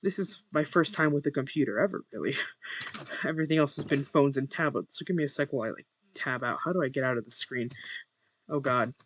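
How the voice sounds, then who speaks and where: A young man with a monotone voice, chatting casually while playing a game and muttering to himself over his first recording. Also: medium pitch, brisk, slight background noise. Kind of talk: casual gameplay commentary.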